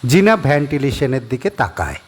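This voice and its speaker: Expressive male horror storyteller. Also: mid-range voice, moderate pace, slightly rough. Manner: expressive storytelling with rising and falling tension. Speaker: narrator. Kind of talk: dramatic horror story narration.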